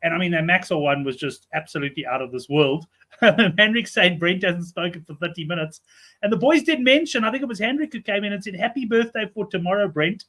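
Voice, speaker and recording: Fast-talking high-voiced man in his fifties. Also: expressive, a bit bright. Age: 50s. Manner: chatty, friendly, fast stream of talk. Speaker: host of a rugby betting show. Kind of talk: casual chat on a betting show.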